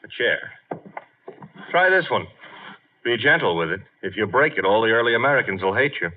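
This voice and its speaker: An actor playing a wisecracking, flippant detective's assistant in a 1950s radio drama. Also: male voice, lowish voice, lively intonation, a little fast. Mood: wry. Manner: flippant, wry, snappy. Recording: dull, noisy old radio recording.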